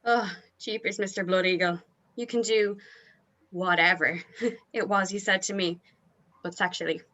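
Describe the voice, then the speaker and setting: A woman in an informal table read playing an excitable, gushing, flirtatious female band member. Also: medium pitch, measured pace, natural voice.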